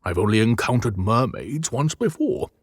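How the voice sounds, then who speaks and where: A man voicing a stern older male leader, gruff and authoritative, in a cinematic audiobook. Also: medium pitch, big pitch swings, moderate pace, no room sound, clean studio sound. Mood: measured, thoughtful.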